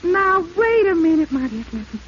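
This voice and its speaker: A very high, animated young female voice plays a playful sweetheart in 1940s radio drama. She is girlish, chirpy and teasing on a muffled old recording. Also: normal speed, slight background noise, exaggerated voice.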